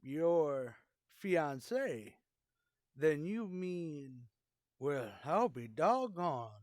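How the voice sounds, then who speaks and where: A man's voice: a little high, very animated, extremely slow, dry recording, clean studio sound, full character voice. Jaunty, folksy old suitor played by a man. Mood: taken aback. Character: spry, cocky, folksy elderly male suitor. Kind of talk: old-time radio situation comedy acting.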